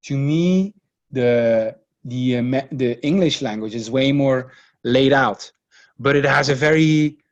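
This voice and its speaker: A man whose first language is Dutch, speaking English as a podcast guest in a relaxed, searching way, often restarting his phrases. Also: medium pitch, slow pace, lo-fi sound. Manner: hesitant, many restarts and repeated words. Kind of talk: casual conversational interview.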